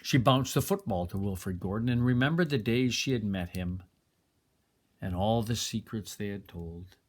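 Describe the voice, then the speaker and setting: A man reading a children's story aloud in an expressive narrating style. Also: on the low side, moderate pace, a little hiss or hum. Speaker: storybook reader.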